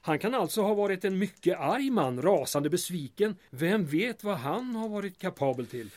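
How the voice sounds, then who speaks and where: An actor playing a mild, cautious and principled small-town mayor in an audio drama, measured and questioning. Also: male voice, high-pitched, lively intonation, darkish tone. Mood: thoughtful.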